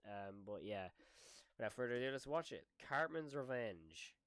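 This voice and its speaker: A man's voice: medium pitch, big pitch swings, moderate pace, fairly bright tone, smooth, no room sound, studio quality. A man doing casual live reaction commentary as a streamer, rambling and thinking aloud with some mild swearing.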